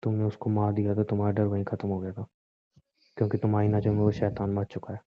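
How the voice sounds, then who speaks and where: A man acts out a devoted young husband in a romantic call drama. His voice is low and quite flat in melody, earnest and reassuring. It comes through muffled, like a phone line. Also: fast, no room sound, natural voice. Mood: earnest, explaining. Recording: muffled, narrow phone-like audio.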